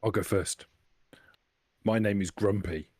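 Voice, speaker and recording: A man's voice: lowish voice, steady tone, a little slow, darkish tone, slightly rough. A man playing a terse, offhand vampire in a tabletop game, grumpy by reputation but mild, with a folksy way of talking. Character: laconic male vampire nicknamed for grumpiness, mild underneath.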